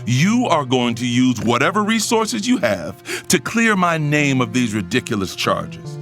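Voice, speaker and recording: An arrogant, middle-aged billionaire in an audio drama. His delivery is lively and swooping, smug and commanding, as he schemes and taunts. Music plays underneath. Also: medium pitch, measured pace. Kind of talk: audio drama acting with first-person narration.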